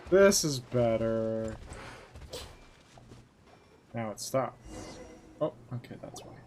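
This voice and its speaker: A man's voice: medium pitch, expressive, takes it slowly, darkish tone, a little hiss or hum. A man giving casual, dry, offhand commentary as he streams a video game, in a laid-back muttering manner. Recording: faint game audio behind.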